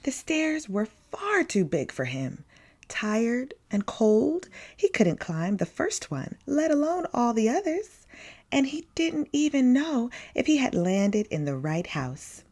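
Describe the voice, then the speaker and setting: A woman's voice: a little high, creaky, darkish tone. A woman reading a children's picture book aloud expressively, with dramatic emphasis on key words. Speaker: story time reader.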